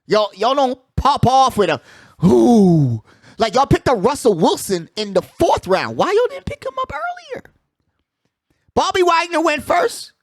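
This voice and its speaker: A man's voice: very high voice, wildly swooping pitch, a little slow, dry recording, clean studio sound. A man hosting a casual sports talk show, giving emphatic opinions with repeated phrases and slangy asides. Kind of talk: casual sports debate and commentary.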